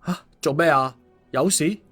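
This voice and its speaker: A man's voice: on the high side, unhurried, fairly bright tone, faint music behind. A man voicing an easygoing, concerned male story hero in colloquial audiobook dialogue. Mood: surprised, concerned.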